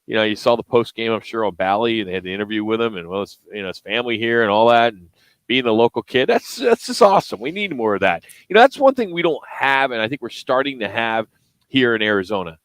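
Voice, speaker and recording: A middle-aged man from the Philadelphia area talks sports casually as a radio co-host. His voice sits at an ordinary male pitch, recorded close and dry, and he presses his opinions with insistence. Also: very animated, fast talker, a bit bright, dry recording. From Philadelphia and South Jersey area, USA. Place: live remote radio broadcast at a car dealership.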